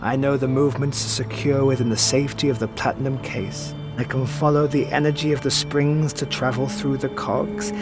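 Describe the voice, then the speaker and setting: An actor playing a suave, sardonic middle-aged master thief and mentor in an audio drama, with florid, theatrical phrasing. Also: male voice, medium pitch, natural voice. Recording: music behind the voice.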